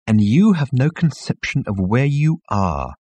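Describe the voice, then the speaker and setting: A man's voice: mid-range voice, big pitch swings, measured pace, darkish tone, breathy edge, no echo, voice pushed up. A man voicing an exasperated, indignant male traveller in an audiobook, emphatic and sarcastic.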